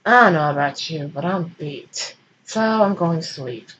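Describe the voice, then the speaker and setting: A woman voicing a laid-back, unbothered man in a fan fiction reading, with an unhurried, nonchalant manner. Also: sits low, lively intonation, a bit bright, lo-fi sound. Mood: tired, relaxed.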